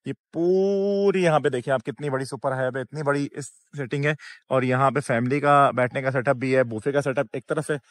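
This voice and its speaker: A man in his thirties with a Pakistani Urdu accent, hosting a casual food vlog outdoors and reacting with excited exclamations. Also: mid-range voice, fairly quick, no room sound. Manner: excited, exclamatory, chatty with staff. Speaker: food vlogger. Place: outdoor restaurant at dusk.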